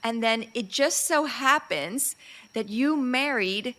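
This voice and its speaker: A middle-aged woman from Chile hosting a podcast, chatting casually, bubbly and quick to laugh as she tells stories. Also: fairly high pitch. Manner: bubbly, laughs often, animated storytelling. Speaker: podcast host. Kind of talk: casual interview chat.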